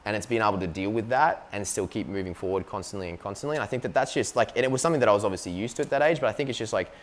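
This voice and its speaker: A man's voice: fairly low pitch, rapid-fire. An Australian man, a business co-founder, talking casually in an interview and filling his speech with "like" and "you know what I mean". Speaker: company co-founder, guest.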